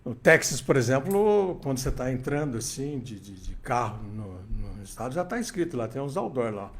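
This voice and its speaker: A man's voice: mid-range voice, big pitch swings, moderate pace. An older man, an interview guest telling radio anecdotes with many restarts and quoted dialogue. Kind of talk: casual storytelling interview.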